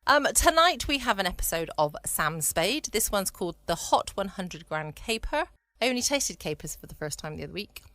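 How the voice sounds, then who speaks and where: A woman chats casually as a bedtime podcast host. Her voice is mid-pitched and her manner understated and rambling. The sound is close and dry. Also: big pitch swings, normal speed, bright, forceful tone, no echo. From United Kingdom.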